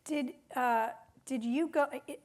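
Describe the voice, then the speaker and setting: A woman's voice: high-pitched, a little slow, darkish tone. A woman interviewing on stage in a literary conversation, tentative and searching as she rephrases her questions. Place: theater stage.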